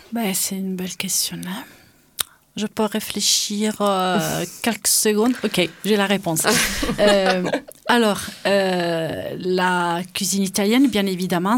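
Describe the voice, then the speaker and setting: A woman's voice: mid-range voice, steady tone, slow. A woman who is a guest, answering spontaneously and hesitantly, thinking aloud.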